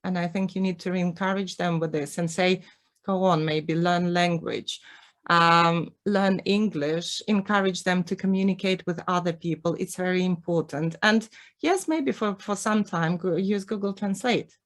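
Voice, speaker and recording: A woman's voice: fairly low pitch, normal speed. A woman from Ukraine with a Ukrainian accent, whose first language is Russian, giving an earnest online presentation over a video call, with frequent ums and restarts.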